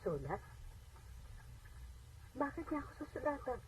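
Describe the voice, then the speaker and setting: A hurt mother in a radio drama, suspicious and resigned to bitterness. The voice sits fairly high and sounds distant, with faint noise from a radio playback. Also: sing-song, a little slow. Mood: wary, hurt.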